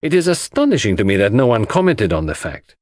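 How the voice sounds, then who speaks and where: A man voicing a shrewd, courteous, theatrical detective in an audiobook, speaking formally and deliberately. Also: lowish voice, very animated, darkish tone, no room sound. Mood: shrewd, skeptical. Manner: formal, grave, deliberate, questioning. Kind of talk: audiobook character dialogue.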